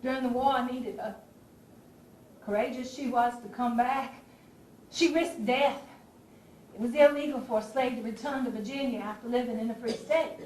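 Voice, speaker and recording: Echoey far-off stage recording, actress doing a high voiced southern civil war lady, fervent abolitionist, very theatrical monologue w dramatic pauses. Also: a little mellow, natural voice. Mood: grave. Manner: theatrical, declamatory, with dramatic pauses and rhetorical questions. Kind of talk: stage play monologue addressed to the audience. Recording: echoey stage recording from afar, faint noise.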